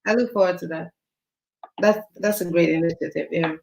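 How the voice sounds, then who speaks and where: A woman's voice: fairly low pitch, a little fast, gravelly. A woman guest speaks into a roomy, echoey space with a faint hiss underneath. She agrees readily, filling gaps with "mm-hmm", and stumbles over her own openings. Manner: agreeable, backchannels with "mm-hmm", hesitant restarts. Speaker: guest on a live stream. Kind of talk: casual live-stream chat. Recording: echoey room with faint noise.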